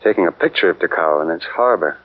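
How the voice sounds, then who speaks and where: An actor playing a friendly American traveler in a 1940s radio drama, casual and chatty. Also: male voice, low-pitched, expressive, fast. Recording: muffled 1940s radio broadcast.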